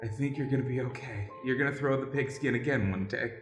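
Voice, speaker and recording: A woman playing a gruff makeshift male doctor with mock-solemn delivery in an improvised comic radio play. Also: rumbling low, fast, voice pushed down. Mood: grave, reassuring. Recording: roomy echo with music behind.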